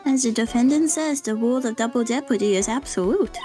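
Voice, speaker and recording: A woman voicing a composed, knowing and gently teasing mentor lawyer in a live fan dub of a courtroom game. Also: on the high side, fairly quick, lightly acted. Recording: game music behind the voice.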